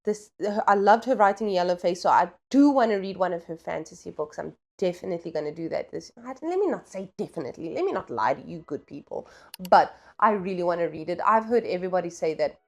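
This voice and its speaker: A woman's voice: lowish voice, lively intonation, quick pace, creaky. A woman talking casually in a book vlog, chatty and playful, with slangy, self-deprecating asides. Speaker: book vlogger.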